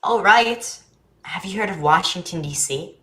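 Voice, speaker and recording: A woman's voice: lowish voice, expressive, measured pace, bright, forceful tone. A woman playing a curious, earnest young boy in a staged drama reading, with an informal, questioning way of talking. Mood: puzzled.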